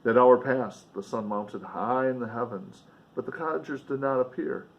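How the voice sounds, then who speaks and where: A man's voice: mid-range voice, moderate pace, lo-fi sound. A man reading a novel aloud in a dramatic, emphatic way, with casual offhand commentary along the way. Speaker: reader and commentator.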